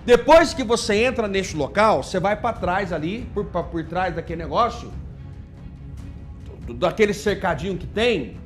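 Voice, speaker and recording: A man's voice: high voice, expressive, moderate pace, soft background music. A man with a Brazilian accent giving informal political commentary in an indignant, emphatic, mocking way. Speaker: video commentator.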